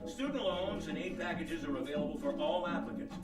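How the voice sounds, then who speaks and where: A man's voice: a little high, lively intonation, a little fast, close to an everyday voice. A man playing a grandiose, theatrical narrator and announcer in old-time radio style. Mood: salesmanlike. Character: grandiose, mock-solemn storyteller and sponsor announcer. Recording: echoey studio stage, distant mic, loud music.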